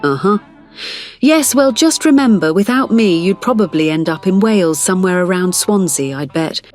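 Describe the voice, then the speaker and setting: A woman's voice: on the low side, expressive, moderate pace, darkish tone, soft background music, lightly acted. A woman voicing a female AI co-pilot with a prim, teasing manner. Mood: sassy. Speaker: AI sidekick.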